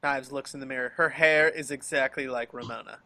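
A man's voice: mid-range voice, fairly even intonation, a little fast, vocal fry, pushed and bright. A man narrating scripted action and scene headings for an amateur table read. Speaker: stage-direction reader. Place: home, group video call.

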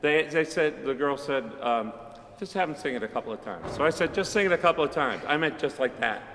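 A middle-aged man directing a school choir talks loosely to the audience between songs, rambling a little and filling pauses with ums. His voice is bright and forward. It sits at a medium pitch, and the stand mic picks up long reverb from a large auditorium with faint crowd ambience behind. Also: expressive, slight background noise. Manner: chatty, rambling, frequent ums and asides. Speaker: high school chorus director. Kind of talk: casual stage announcement to a concert audience. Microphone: mic on a stand, speaking from among the choir.

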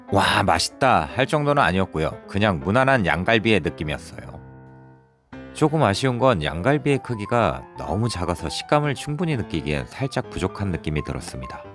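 A man narrating a restaurant review vlog in a lively, descriptive way, recorded in a busy barbecue restaurant. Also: low voice. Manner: lively, descriptive, chatty with friends. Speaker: food vlogger.

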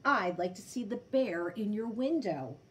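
An elegant gentleman customer from a children's storybook, read by a woman. He is polite, businesslike and matter-of-fact, with lively intonation. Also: mid-range voice, a little slow, lightly acted. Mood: appraising.

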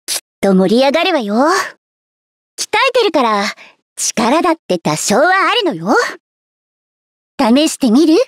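A woman's voice: sits high, big pitch swings, a little fast, pauses often, no echo, clean studio sound. A woman playing a spirited, competitive young female warrior in a Japanese game, with a plucky delivery. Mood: confident. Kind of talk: Japanese game character voice lines.

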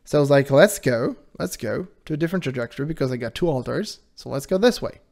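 A man with a high-pitched voice hosting his channel, giving casual commentary in an animated, defensive way and laughing often. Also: fast, gravelly. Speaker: channel host reacting to a video.

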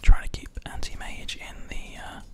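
A gamer commentates on play in a breathy, unvoiced whisper, right up at a binaural mic. The delivery is ASMR, hushed throughout, with keys and mouse clicking behind it. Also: moderate pace. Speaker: host, gamer. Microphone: close binaural microphone. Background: keyboard and mouse clicks.

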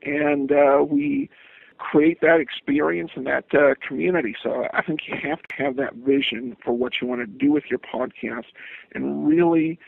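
A man giving conversational interview answers as a podcaster, in a halting, rambling way. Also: fairly high pitch, steady tone, creaky, husky. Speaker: podcast host, interviewed guest. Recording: muffled phone-like line.

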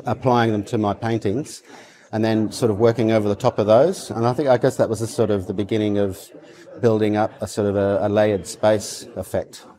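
A middle-aged to older man recalling the past in an interview, reflective and halting as he searches for his words. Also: on the low side, warm tone. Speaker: interviewee, artist. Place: quiet indoor interview room.

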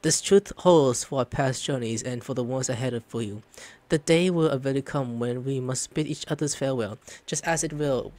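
A man's voice: medium pitch, brisk, darkish tone. A man streaming a video game, commenting casually and reading dialogue aloud. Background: game music.

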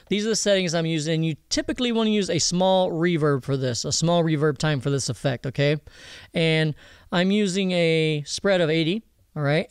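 Man, higher-pitched, crisp voice, quick pace, casual tutorial, direct explanations. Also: no echo, studio quality. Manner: informal, explanatory, addresses viewers directly. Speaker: audio mixing instructor. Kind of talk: casual screen-recorded software tutorial. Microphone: close voice-over mic over screen recording.

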